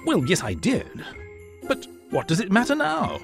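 A woman voicing an impatient, petulant, bossy gentleman professor in a dramatised storybook, exasperated and indignant. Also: mid-range voice, huge pitch swings, a little slow, creaky, heavily acted. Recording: music behind the voice.

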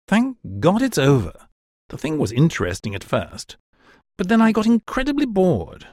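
A man's voice: sits high, huge pitch swings, normal speed, darkish tone, no echo, clean studio sound, pitched above the performer's own voice, full character voice. A man reading a story aloud and voicing an irritable professor who blusters with indignation. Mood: weary relieved.

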